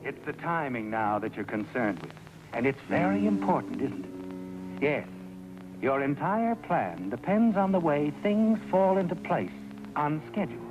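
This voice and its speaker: A man's voice: a little high, highs cut off. An actor playing a sinister, all-knowing male narrator who tauntingly addresses a guilty schemer in a 1950s suspense show. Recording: 1950s TV soundtrack, loud music behind.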